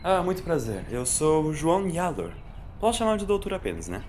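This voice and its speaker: A man's voice: on the high side, big pitch swings, a little fast, darkish tone, a little hiss or hum, pitched above the performer's own voice. A man voicing a friendly, informal young ex-gang fighter in an audio story. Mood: friendly, grateful.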